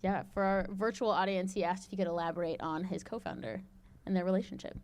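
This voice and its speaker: A woman's voice: fairly low pitch, quick pace, a little mellow, a little hiss or hum. A woman hosting a live audience Q&A, bubbly, chatty and self-deprecating. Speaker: event host.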